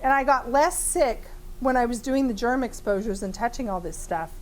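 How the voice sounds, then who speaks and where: A woman therapist with a big voice leading a live training demonstration in a room with a small audience, chatty and lively, laughing often, with many fillers. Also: fairly high pitch, moderate pace, slight background noise, poor audio.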